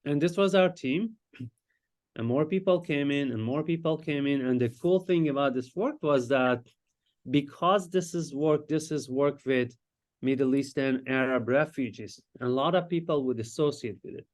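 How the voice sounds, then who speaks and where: A man from Iran, a Farsi speaker, presenting his research as a psychiatrist in a lecture and pausing often to search for words. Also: fairly high pitch, a little mellow. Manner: explanatory, searches for words with frequent hesitations.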